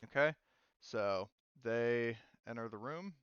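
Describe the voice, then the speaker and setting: A man with a smooth, clear voice narrates as game master of a tabletop game, rambling with plenty of fillers and restarts. The sound is dry and a touch dull, like a home mic with the highs rolled off. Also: slow, a bit bright, no echo.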